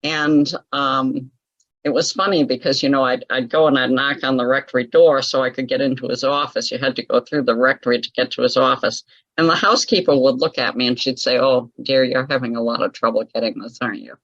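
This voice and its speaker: A woman being interviewed, chatting through a personal story with frequent laughs and a lively, conversational telling. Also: extremely low pitch, brisk, dry recording, muffled recording. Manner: chatty, laughs often, lively retelling of her own past. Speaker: interview guest, author.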